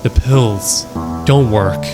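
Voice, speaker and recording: A man's voice: lowish voice, drawn-out words. A man reading text aloud and adding casual, wry commentary as a horror reaction host. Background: music behind the voice.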